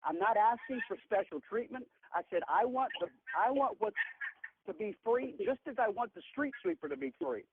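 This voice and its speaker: A woman's voice: fairly high pitch, moderate pace, gravelly, background noise. A woman speaking bluntly and earnestly in casual, emphatic talk on a conference call. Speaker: marshal speaking on group call. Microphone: telephone. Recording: tinny phone conference line.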